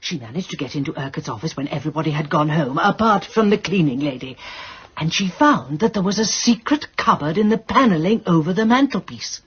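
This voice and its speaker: Prim, husky English lady assistant. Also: low-pitched, animated, fairly quick, heavily acted. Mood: discreet, eager. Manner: precise, polite. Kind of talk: radio drama acting. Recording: dull, muffled radio recording.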